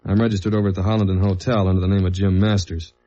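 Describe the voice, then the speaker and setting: Low-voiced American investigator played by an actor, muffled recording. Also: male voice, little pitch movement, rapid-fire, mellow, lightly acted. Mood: businesslike. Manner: dry, understated, hard-boiled. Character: tall, dogged government investigator narrating his case journal. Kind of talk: 1950s radio detective drama, first-person narration and dialogue. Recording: muffled old radio recording with music.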